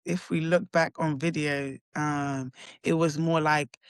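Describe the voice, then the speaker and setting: A woman's voice: low voice, fairly even intonation, measured pace, smooth, no echo. Hesitant young London woman, dry sound. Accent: London English. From London, England. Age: young adult. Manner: hesitant, full of likes and restarts. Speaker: college basketball player guest. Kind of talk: casual interview chat.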